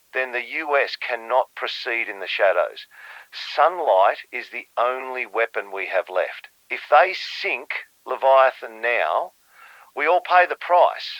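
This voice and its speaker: Dull, dry audio carries a male actor as a conspiratorial government minister. He speaks with formal, measured gravity and an urgent undertone, as in an audio drama. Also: medium pitch, no room sound, natural voice. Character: grave, conspiratorial male Australian foreign minister.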